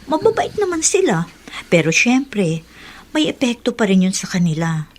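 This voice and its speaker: An actress playing a practical, principled wife and mother in a radio drama, persuasive and quick to exclaim. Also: medium pitch, big pitch swings. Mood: persuading, coy. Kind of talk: Tagalog radio drama acting.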